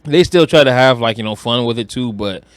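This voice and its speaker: A man's voice: mid-range voice, a little fast, smooth, no room sound. A young American man from Detroit co-hosting a podcast, talking movies casually in a slangy, profane, emphatic way. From Detroit, United States. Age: young adult. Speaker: podcast co-host.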